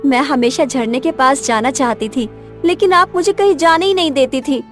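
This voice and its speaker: A woman's voice: sits high, moderate pace, soft background music, close to an everyday voice. A woman voicing a sweet, eager and obedient young forest girl in her twenties in a cartoon story. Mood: eager, curious. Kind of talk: Hindi cartoon moral story voice acting.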